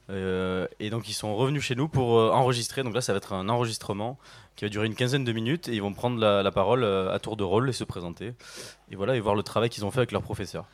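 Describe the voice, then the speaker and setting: Man, lowish voice, radio guest, casual explaining, halting restarts. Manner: explaining loosely, with restarts and fillers. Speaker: radio guest presenting a project.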